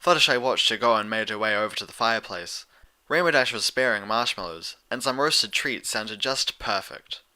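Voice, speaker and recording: A man's voice: lowish voice, normal speed, sharp tone. A man reading a story aloud as a scripted narrator. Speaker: fan fiction reader.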